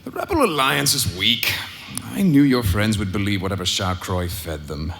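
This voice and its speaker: A pompous, gloating imperial villain. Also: male voice, lowish voice, very animated, creaky, fairly bright tone, soft background music, exaggerated voice. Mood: menacing, grandiose. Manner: pompous, menacing, deliberate. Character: gloating, arrogant male imperial officer villain. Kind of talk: live space-opera audio drama acting. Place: live convention stage.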